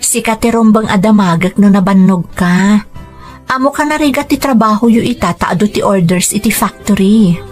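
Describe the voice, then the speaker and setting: A woman's voice: mid-range voice, normal speed, darkish tone, natural voice. A woman playing a devoted young daughter in love, speaking tenderly and earnestly in a radio romance drama. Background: background music.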